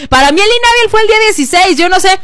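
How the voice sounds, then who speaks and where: A woman radio host, chatting with callers in a loud, teasing, playful way. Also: extremely high pitch, expressive, very fast, a crowd in the background. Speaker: radio host taking listener calls. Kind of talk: casual radio chat.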